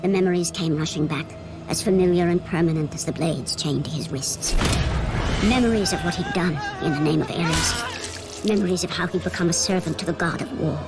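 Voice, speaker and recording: An actress playing a solemn, portentous narrator of a Greek epic in a video game, with deliberate dramatic emphasis. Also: female voice, fairly low pitch, close to an everyday voice. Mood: ominous. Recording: loud dramatic music underneath.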